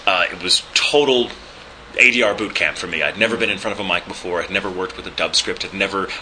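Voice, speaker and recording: A man's voice: sits low, bright, forceful tone, slight background noise, poor audio. A man from Texas with a neutral American accent tinged with a proper British lilt, speaking articulately and thoughtfully in an interview, with frequent fillers. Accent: neutral American with a slight proper British lilt. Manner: articulate, measured, with frequent uh fillers and small restarts. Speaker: voice actor and ADR director. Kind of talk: reflective interview storytelling.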